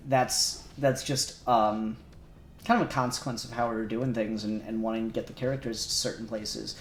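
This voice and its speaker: A man's voice: mid-range voice, roomy sound. A man casually chatting on a livestream, thinking aloud as he works on a 3D model. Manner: relaxed, thinking aloud while working. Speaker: co-host modelling on stream.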